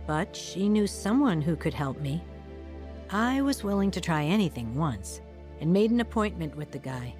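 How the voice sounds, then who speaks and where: A woman's voice: on the low side, big pitch swings, normal speed, a little mellow. An actress playing an earnest woman who recounts her troubled youth in a reflective, confiding audio drama narration. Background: music.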